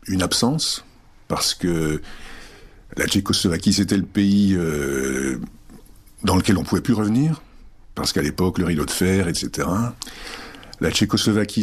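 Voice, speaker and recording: A middle-aged man whose first language is Czech, answering interview questions reflectively with many pauses and hesitations. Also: deep voice, husky. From France. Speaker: guest.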